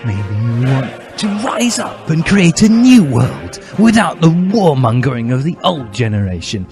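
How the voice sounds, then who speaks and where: A man's voice: medium pitch, pitched above the performer's own voice. A man voicing a fervent, self-righteous teenage boy revolutionary, declaiming indignantly in a mock-dramatic story reading. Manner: bombastic, indignant, shouty declaiming. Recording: music behind the voice.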